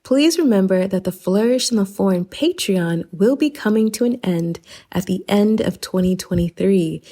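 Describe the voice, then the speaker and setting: A woman's voice: mid-range voice, darkish tone. Chatty woman hosting a podcast. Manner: chatty, informal, addresses listeners directly. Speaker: podcast host. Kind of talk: casual podcast announcements and episode introduction.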